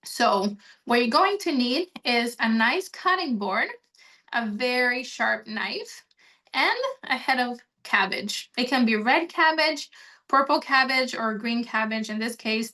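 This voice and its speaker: A woman's voice: a little high, unhurried, pushed and bright. A woman from Honduras leading a friendly, explanatory online cooking demonstration, talking while she chops and prepares food. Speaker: cooking demo instructor.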